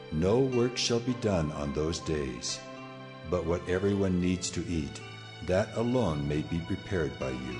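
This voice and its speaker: A low, majestic voice of God, solemn and authoritative. The delivery is stately, declaiming law as in a dramatized scripture, with music behind it. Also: male voice. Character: solemn commanding God, the Lord giving law.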